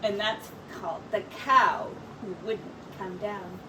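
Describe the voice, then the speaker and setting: A woman teacher reading a picture book aloud to young children in an expressive, animated storytelling manner with dramatic pauses. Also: mid-range voice. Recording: distant mic, faint background noise.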